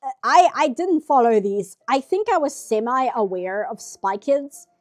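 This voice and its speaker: A woman's voice: high-pitched, lively intonation, unhurried. A nasal-sounding woman streaming herself painting, chatting casually in rambling asides. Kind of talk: casual chat while drawing.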